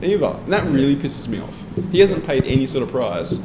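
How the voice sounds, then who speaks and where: A man's voice: mid-range voice, very animated, fairly quick, background noise. A young Australian man in a casual discussion, telling stories bluntly and swearing freely. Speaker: podcast co-host. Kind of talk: casual pub-style discussion.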